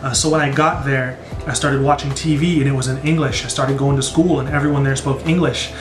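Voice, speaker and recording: A man's voice: medium pitch, steady tone, a little fast. American man chatting in an echoey room. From born in Brazil, grew up in the United States from age three. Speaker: YouTube music reaction host. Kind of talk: casual Q&A chat to viewers. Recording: roomy echo with music behind. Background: background music.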